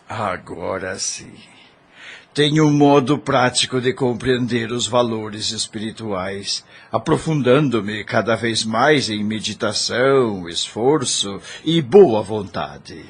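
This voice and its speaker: A man playing a solemn, pious gentleman who speaks formally and deliberately in a religious audio drama. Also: on the low side, expressive, breathy edge, heavily acted. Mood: solemn, pleased.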